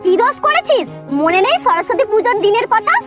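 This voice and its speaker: A woman's voice: extremely high pitch, big pitch swings, fairly quick, over music. A woman voicing a stern goddess posing as an exam invigilator in a comedy cartoon, scolding and commanding. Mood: angry, vengeful.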